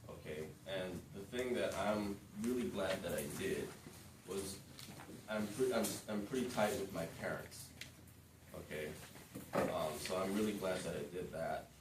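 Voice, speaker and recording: A man in his thirties with a low, deep voice speaks casually to a room of students, often stopping to ask "okay?" and filling pauses with "um". He sounds a little distant from the mic, with a roomy echo and noticeable background noise from the space. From born in the United States, raised in Japan. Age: 30s. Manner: casual, frequent "um" and "okay?" check-ins. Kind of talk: informal classroom talk.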